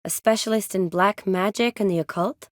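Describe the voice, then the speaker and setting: An actress playing a young woman army medic in a video game, caring, playful and briskly practical, with a natural, teasing delivery. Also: medium pitch, dry recording, clean studio sound, lightly acted. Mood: businesslike. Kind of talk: video game character dialogue.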